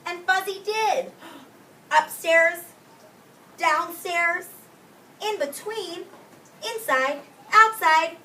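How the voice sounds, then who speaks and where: Preschool teacher, woman, storybook read-aloud: unhurried, bright, expressive, explains simply, picked up from across the room. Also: very high-pitched. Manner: expressive, deliberate, drawn-out emphasis on key words. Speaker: preschool teacher reading a picture book aloud. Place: indoors in a classroom with young children. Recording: roomy distant mic with faint background noise.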